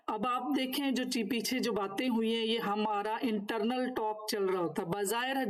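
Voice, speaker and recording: A woman's voice: fairly high pitch, low-quality recording. A woman instructor giving a course lecture, partly reading from notes and partly explaining, in formal, instructive wording.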